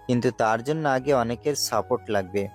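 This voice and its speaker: A man's voice: mid-range voice, a little fast, natural voice. Man acting ambitious scheming gangster boss, music underneath. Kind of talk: amateur Bengali audio-story acting.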